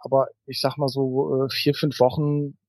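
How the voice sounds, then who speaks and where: A man's voice: medium pitch, level tone, moderate pace, darkish tone, dry recording. A man answering questions conversationally as an author being interviewed. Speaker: author interview guest, writer of novels and audio dramas.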